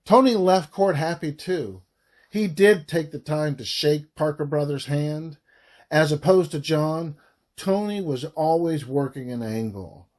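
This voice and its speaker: A man reading a scripted audiobook narration in a deliberate way, phrase by phrase with frequent pauses. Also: fairly high pitch, a little mellow. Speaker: author reading own audiobook.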